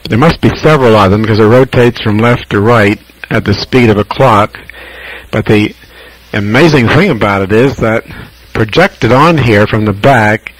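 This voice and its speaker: An American man chatting casually as a ham radio operator over shortwave, measured and hesitant, pausing often as he describes things. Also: medium pitch, no echo, poor audio.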